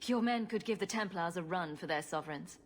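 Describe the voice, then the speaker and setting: A woman's voice: on the low side, fairly quick, lightly acted. A woman acting a wry, sarcastic rogue heroine. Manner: dry, teasing. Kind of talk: video game voice acting. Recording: faint background noise.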